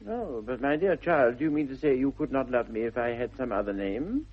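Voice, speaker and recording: A muffled vintage radio recording of an upper-class young Victorian dandy with a British accent. His voice is middling in pitch and husky. He is smitten and easily flustered, hesitating and then gushing in plummy 1960s stage-comedy style. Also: lively intonation, a little fast. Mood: flustered, pleading.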